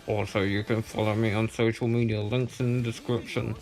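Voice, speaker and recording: Man giving a guest review, soft music behind. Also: on the low side, little pitch movement, brisk. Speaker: guest contributor to a countdown video. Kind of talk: scripted review commentary.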